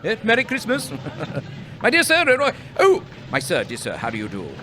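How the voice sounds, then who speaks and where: An actor playing a reformed old miser in a live radio play, chuckling and stammering with glee. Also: male voice, high-pitched, wildly swooping pitch, moderate pace, bright, forceful tone, slight background noise, exaggerated voice. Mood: giddy.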